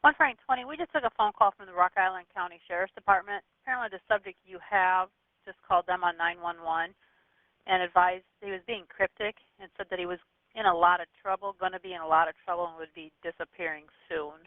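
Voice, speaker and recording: A man working as a police dispatcher, talking in brisk procedural phrases over a two-way radio. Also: high voice, fairly even intonation, no room sound. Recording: tinny, narrow police radio band.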